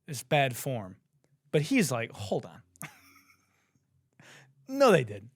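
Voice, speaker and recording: A young man hosting a podcast, ranting in disbelief with lots of swearing and laughter, a little hoarse. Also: mid-range voice, wildly swooping pitch, slow pace, no room sound, clean studio sound. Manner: profane, laughing, incredulous ranting. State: hoarse, says he has lost his voice. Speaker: podcast host. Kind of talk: casual comedic commentary.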